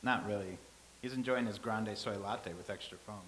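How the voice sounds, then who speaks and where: A man reading the part of a calm, philosophical old-time scribe, speaking in a measured, lecturing way. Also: lowish voice, a little hiss or hum, natural voice, rough recording. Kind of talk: staged reading.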